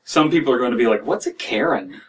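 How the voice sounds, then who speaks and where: A man in his thirties reading and reacting to stories as a podcast host, in a halting, wry, casual way. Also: mid-range voice, expressive, breathy edge, far from the mic, rough recording. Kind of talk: casual commentary and story reading. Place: small dim room indoors.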